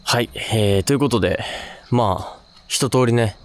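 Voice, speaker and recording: A man's voice: medium pitch, normal speed. A man hosting an unscripted ghost-hunting video on location outdoors, talking in a casual, conversational way. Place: outdoors at a suspension bridge.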